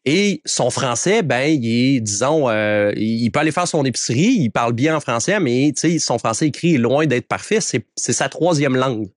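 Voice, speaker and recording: A man's voice: mid-range voice, animated, moderate pace, hardly any pauses, dry recording, studio quality. A man with a Quebec French accent, a business co-owner answering interview questions with hesitant restarts.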